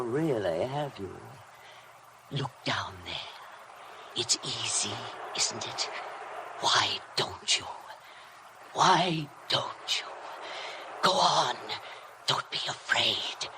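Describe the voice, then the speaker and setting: An actress playing a cold, obsessive housekeeper in a 1950s radio drama, formal, deliberate and insinuating. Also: female voice, a bass voice, sing-song, heavily acted. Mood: sinister coaxing. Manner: deliberate, insinuating, coldly formal, hypnotic repetition. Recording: noisy vintage 1950 radio broadcast.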